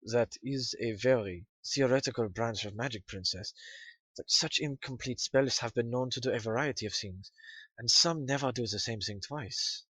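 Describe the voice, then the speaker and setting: A man's voice: medium pitch, normal speed, bright, forceful tone, no room sound, low-quality recording. A man playing an earnest, bookish male pony researcher in an audio drama, polite, deferential and a little hesitant. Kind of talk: scripted audio drama acting.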